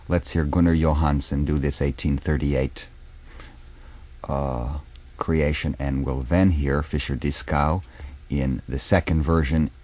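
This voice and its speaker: A man hosting a classical music radio programme, speaking in a measured, halting, thoughtful way. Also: very deep voice, mellow. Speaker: radio host. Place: radio studio.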